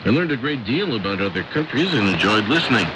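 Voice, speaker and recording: An American man hosting a shortwave radio programme, reading listener letters and announcements with clear enunciation. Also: on the low side, big pitch swings, quick pace, very noisy. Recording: shortwave radio broadcast with music.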